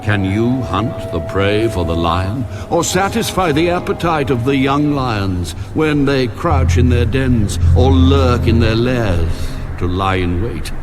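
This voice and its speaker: A man voicing God in a dramatized scripture reading, speaking with slow, solemn, weighty questions. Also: mid-range voice. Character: God speaking from the storm, majestic and commanding. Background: music score.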